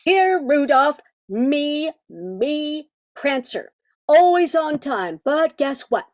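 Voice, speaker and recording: A huffy, impatient reindeer, voiced by a woman, high-pitched and unhurried in delivery. The character is a chatty complainer in a radio play. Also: very animated, darkish tone, full character voice. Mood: indignant, smug. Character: indignant, impatient, tattling reindeer. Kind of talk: amateur radio play acting.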